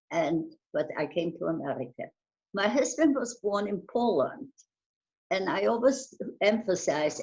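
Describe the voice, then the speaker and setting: Older woman, halting recollections, dull audio. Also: on the low side, a little mellow. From Bulgaria. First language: German. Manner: reflective, halting, repeats and restarts words. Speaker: interviewee, Holocaust survivor. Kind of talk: oral history interview.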